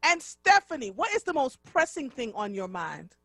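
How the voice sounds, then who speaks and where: A woman in her fifties from Jamaica hosting a live radio talk show, speaking in an expressive, emphatic way. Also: high-pitched, normal speed, no echo, clean studio sound. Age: 50s. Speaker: radio host.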